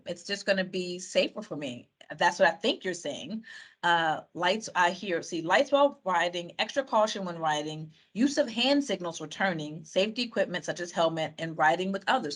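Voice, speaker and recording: A woman's voice: fairly low pitch, lively intonation, normal speed, fairly bright tone. A woman facilitating a community video call, reading out and summing up participants' answers in a chatty way with fillers and restarts. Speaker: meeting facilitator.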